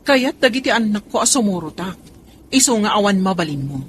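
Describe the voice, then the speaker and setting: A woman's voice: mid-range voice, animated, a little fast, close to an everyday voice. A woman acting in a radio drama as a jealous, defiant wife and protective mother. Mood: angry, defiant. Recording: faint background noise and ambience.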